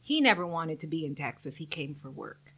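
A woman's voice: sits low, sing-song, fairly quick, sharp tone, slightly rough. A woman in her forties from Guyana with a Guyanese accent, a guest talking candidly and earnestly in an interview.

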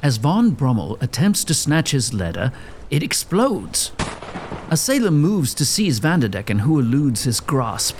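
A man's voice: medium pitch, big pitch swings, a little slow, a little mellow, a little hiss or hum. A man giving dramatic scripted narration as the storyteller of an audio drama.

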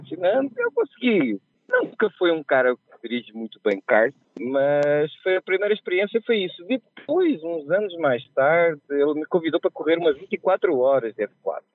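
Portuguese man on a remote call, slightly high voice, thin phone-line sound, chatty storyteller who laughs at himself. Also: dry recording. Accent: Portuguese, softened by years in Brazil. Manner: chatty, laughs at his own mishaps. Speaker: podcast guest. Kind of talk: casual storytelling.

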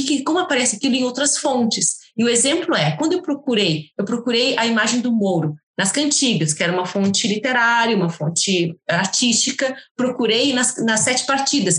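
A woman's voice: a little high, expressive, normal speed, hardly any pauses, a bit bright, roomy sound. A woman speaking as a guest historian in a casual interview, rambling with many restarts and fillers.

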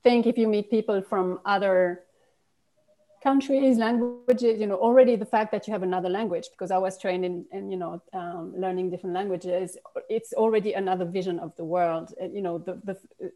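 A woman's voice: medium pitch, steady tone, brisk, mellow, low-quality recording. A woman, a guest in a conversational interview, talking reflectively with many restarts and fillers. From Switzerland. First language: French.

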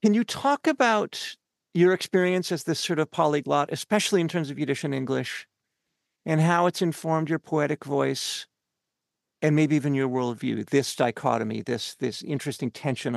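A man from Canada hosting a podcast interview, asking long earnest questions with many halting fillers. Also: mid-range voice, gravelly, dry recording, clean studio sound.

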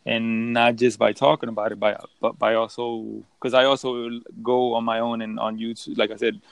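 A man's voice: mid-range voice, little pitch movement, normal speed, creaky, smooth, dry recording. A young man from the Dominican Republic who hosts a podcast, chatting casually and rambling with many restarts. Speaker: podcast host. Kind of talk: casual chat on a call.

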